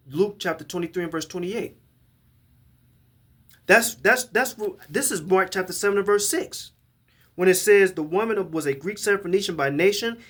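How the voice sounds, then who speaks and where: A man's voice: high-pitched, fairly bright tone. A man giving a scripture lesson to a camera, emphatic and often repeating and restarting his words as he reads verses aloud. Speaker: Bible teacher.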